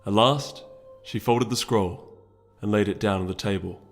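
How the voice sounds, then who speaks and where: A man reading a story aloud as dramatic, solemn and deliberate scripted narration. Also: fairly low pitch, faint music behind. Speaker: story reader.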